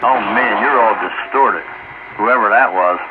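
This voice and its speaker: A man's voice: on the low side, unhurried. An American man talking in a folksy, colloquial way as a CB operator over a two-way radio. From Pennsylvania, United States. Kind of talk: casual radio chat. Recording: muffled CB radio with heavy static. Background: loud radio static.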